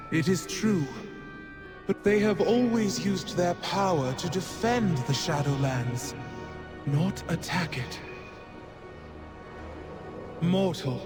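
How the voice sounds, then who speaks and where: An actor playing a wise, grave otherworldly keeper giving formal quest instructions in a video game. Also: male voice, a little high. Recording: voice over clear background music.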